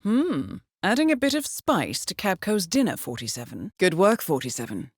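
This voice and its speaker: An actress playing a composed, coolly wry intelligence handler in a video game, giving formal, measured, dryly understated mission briefings. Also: female voice, on the low side, huge pitch swings, breathy edge, no room sound, clean studio sound, heavily acted.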